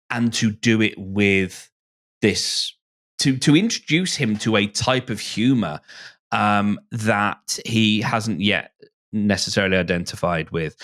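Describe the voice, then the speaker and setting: A man speaking as a podcast guest in a reflective discussion, haltingly thinking aloud and circling back over his phrases. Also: on the low side, fairly bright tone.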